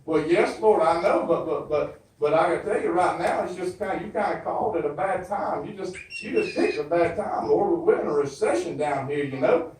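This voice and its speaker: A man's voice: a little high, a little mellow, slight background noise. A man with a Southern US accent performing a folksy comedy monologue as a flustered churchgoer on the phone, stammering and repeating himself. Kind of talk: comedy monologue, one side of a phone call. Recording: very echoey hall, distant microphone.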